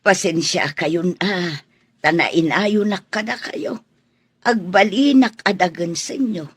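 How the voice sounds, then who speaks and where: A woman playing a gentle, grateful old motherly figure in a radio drama, humble and apologetic. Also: on the low side, slow, husky.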